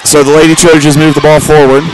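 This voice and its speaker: A man with a loud voice giving halting, amateur live play-by-play of a basketball game. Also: on the high side, little pitch movement. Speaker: play-by-play sports commentator. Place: large indoor school gymnasium during a game. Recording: noisy gym crowd and bouncing ball. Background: crowd noise, basketball bounces.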